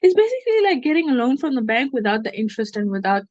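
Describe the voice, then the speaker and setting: A woman's voice: on the high side, big pitch swings, quick pace, darkish tone. A young woman hosts a casual podcast chat, talking freely and often restarting mid-sentence. Her voice comes through slightly dull and lo-fi, with a dry, close sound and no room echo. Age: young adult. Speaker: podcast host.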